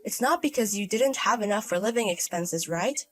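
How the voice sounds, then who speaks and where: A woman's voice: medium pitch, expressive, normal speed, soft background music, natural voice. A woman voicing a stern older mother and grandmother in a story drama, scolding and firm. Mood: stern, reproachful. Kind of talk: acted dialogue in a narrated drama story.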